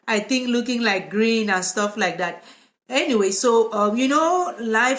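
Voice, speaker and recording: A woman with a Cameroonian accent, a psychotherapist talking casually and informally to viewers in a live vlog, with a relaxed, conversational manner. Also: mid-range voice. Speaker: psychotherapist hosting a live video. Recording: echoey, reverberant room.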